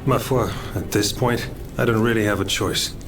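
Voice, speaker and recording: Noble French general, male actor: low, weary, honourable, a little off-mic under loud game score. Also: fairly even intonation, moderate pace, close to an everyday voice. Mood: grave, worried. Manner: grave, weary, formal. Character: grief-stricken noble French revolutionary general, grave and honourable. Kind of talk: video game voice acting. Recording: slightly distant, loud music behind.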